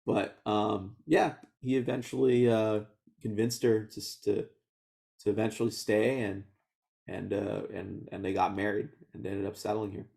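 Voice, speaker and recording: A man in his thirties from Houston, whose first language was Vietnamese, telling his life story in a relaxed oral history interview, often laughing and restarting his sentences. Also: lowish voice, moderate pace, vocal fry. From Houston, Texas. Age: 30s.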